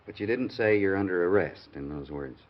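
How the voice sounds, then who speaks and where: A calm, deep-voiced police sergeant from a 1950s radio drama. He questions and explains in a measured way. The old broadcast sounds dull and muffled. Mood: probing.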